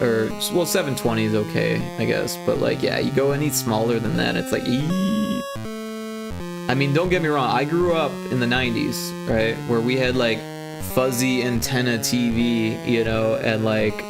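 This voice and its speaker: A forty-year-old man talking loosely on his stream, drifting from point to point and circling back with fillers. His voice has a gravelly, fry-laden edge at a middling pitch. Faint music sits quietly behind him. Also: normal speed, poor audio. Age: 40s. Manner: rambling, chatty, lots of fillers and restarts. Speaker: livestreaming game developer. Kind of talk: casual livestream chat.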